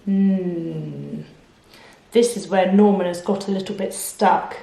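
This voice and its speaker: A woman reading a picture book aloud to children, expressive, with explanations and questions to the listener. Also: lowish voice, takes it slowly, vocal fry. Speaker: children's storyteller. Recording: echoey room.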